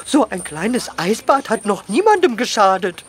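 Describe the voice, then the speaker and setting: A woman's voice: medium pitch, sing-song, moderate pace, slight background noise, close to an everyday voice. A woman playing a cheeky, lazy little boy ghost in a children's audio drama, playful and a bit whiny.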